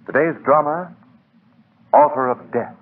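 A man with an American accent announcing formally and emphatically in scripted 1940s radio style. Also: measured pace. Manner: formal, emphatic pitchman delivery. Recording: muffled husky 1940s radio broadcast.

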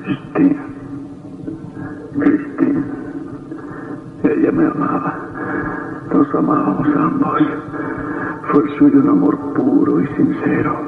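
A tormented young lover in a radio horror play. He speaks haltingly and slowly in a fairly high, gravelly voice, with heavy echo around him. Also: fairly even intonation, full character voice. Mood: anguished. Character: anguished young man grieving his lost beloved. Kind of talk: radio horror drama acting. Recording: heavy echo, muffled distant old radio.

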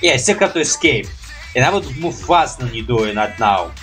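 A man's voice: mid-range voice, expressive, moderate pace, fairly bright tone, faint music behind. A young man reacting casually to animations in rambling, hesitant, non-native English, with habitual mild swearing. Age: young adult. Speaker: reaction video host. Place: small room at home.

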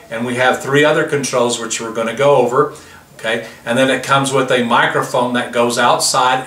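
Male product presenter, 50s, forceful bright tone, recorded off-mic in a roomy space. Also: medium pitch. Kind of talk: product demonstration, explanatory. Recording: roomy, distant mic, faint background noise.

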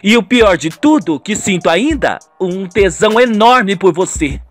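A man's voice: high voice, expressive, measured pace, soft background music. A man voicing a scheming, exasperated housekeeper and mistress in a dramatic radio soap. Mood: weary. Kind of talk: radio soap opera acting.

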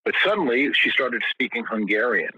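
A man's voice: mid-range voice, big pitch swings, moderate pace, bright, forceful tone, breathy edge, no room sound, low-quality recording. A man guest in an interview, telling long stories with many restarts and repeated words. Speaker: guest musician. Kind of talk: casual interview storytelling.

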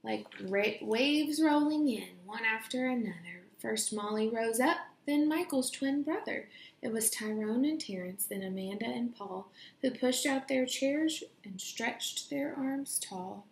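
A young woman reading a children's picture book aloud in a deliberate, expressive storytelling way, recorded in a room at home. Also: on the high side, creaky, a little hiss or hum. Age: young adult. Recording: roomy home echo.

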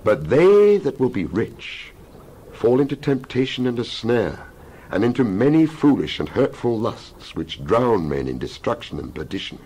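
A man's voice: mid-range voice, very animated, unhurried, dark tone, slightly rough, poor audio. A man giving a dramatized scripture reading with solemn, emphatic, oratorical delivery. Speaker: Bible reader.

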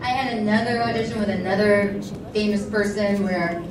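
An actress on a convention panel telling animated audition anecdotes. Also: female voice, medium pitch, normal speed, a crowd in the background. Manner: animated anecdotes, quotes others. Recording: echoey hall from far off.

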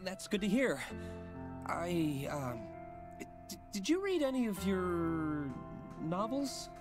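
A woman voicing a shy, polite young male office worker in a visual novel, speaking haltingly. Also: fairly low pitch, very animated, pitched below the performer's own voice. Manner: hesitant, halting, soft-spoken. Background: game music.

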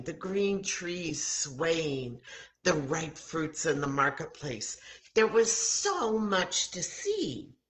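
An American woman in her sixties reads a story for young children. Her voice is low and takes its time, swinging widely in pitch as she dramatizes the tale. The delivery is deliberate and expressive, like a story time host acting out each line of dialogue. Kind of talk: expressive read-aloud of a children's Bible story. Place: home kitchen, indoors. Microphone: webcam or laptop mic at arm's length.

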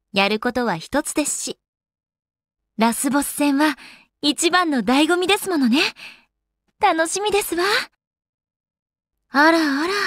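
A woman's voice: high voice, no echo, studio quality. A woman voicing an elegant young goddess in a video game, with polite, ladylike, composed speech. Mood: calm, genteel. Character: elegant young goddess, refined lady and avid gamer. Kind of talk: Japanese video game character voice acting.

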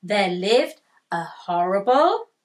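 A woman voicing a friendly young male dog in a children's storybook, with gentle, expressive phrasing. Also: medium pitch, slow. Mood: dramatic reading. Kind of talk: children's storybook character read aloud.

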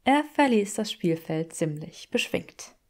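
A woman's voice: fairly low pitch, animated, measured pace, a little mellow. A woman reading scripted fiction aloud as an audiobook narrator.

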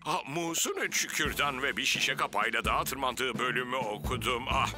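A man's voice: mid-range voice, moderate pace, music in the background, lightly acted. A man voicing a hearty, easily impressed senior fire officer in a children's cartoon, with huffing interjections. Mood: admiring. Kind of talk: dubbed children's cartoon acting.

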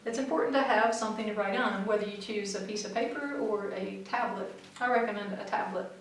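A middle-aged woman flight instructor, calmly explaining practical tips to camera in a methodical way. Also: medium pitch, fairly quick, a little hiss or hum. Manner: clear, methodical, practical advice. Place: small studio room in front of a backdrop, seated. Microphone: far camera mic. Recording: very echoey room, mic far off.

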